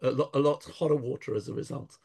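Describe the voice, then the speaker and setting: A man speaking thoughtfully in an interview, pausing and restarting often, weaving in Hebrew verses. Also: medium pitch, fairly even intonation, gravelly, a little mellow, slightly rough. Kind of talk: conversational interview.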